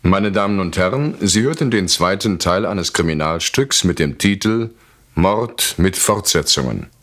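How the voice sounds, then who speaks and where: Low-voiced male radio announcer. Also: lively intonation, a little slow, a bit bright. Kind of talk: scripted closing announcement.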